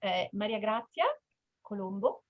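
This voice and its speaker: A woman's voice: mid-range voice, steady tone, a little slow. An Italian woman in her sixties, a teacher, hesitantly introducing herself on a video call in a second language. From Italy. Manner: hesitant, searching for words. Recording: dull lo-fi call audio.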